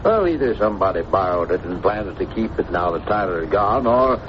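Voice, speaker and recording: A man's voice: sits low, lively intonation, fairly quick. A prickly, proud village lawman in an old radio show. His talk is plain and homespun with some bluster. The sound is dull, with light noise. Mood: puzzled, folksy. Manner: folksy, blustery, plain-spoken. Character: proud, folksy, touchy small-town honorary sheriff. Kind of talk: 1950s radio drama acting. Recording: muffled old radio recording, faint noise.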